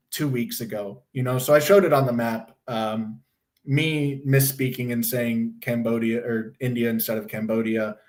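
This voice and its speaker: A young man hosting a casual livestream. He talks bluntly, rambles, swears freely, and is losing his voice from a sore throat. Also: mid-range voice. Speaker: livestream host.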